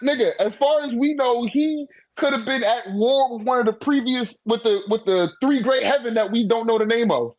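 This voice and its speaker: A man chatting casually in an animated, slangy manner with heavy swearing, as a guest in a friendly debate. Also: extremely high pitch, normal speed, hardly any pauses. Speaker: guest debating a manga tier list. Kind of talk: casual chat.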